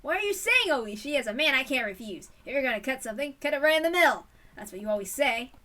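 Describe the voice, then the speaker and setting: A woman's voice: high-pitched, expressive, fast, sharp tone. A woman voicing a bold old forensics man, blustering and emphatic, in a story reading.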